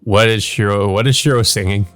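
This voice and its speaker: A man with a fairly low voice hosts a visual novel playthrough, reading aloud and commenting casually as he goes. He muses and hesitates in his asides, with music playing underneath. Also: fairly even intonation, a little fast, fairly bright tone. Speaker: let's play host.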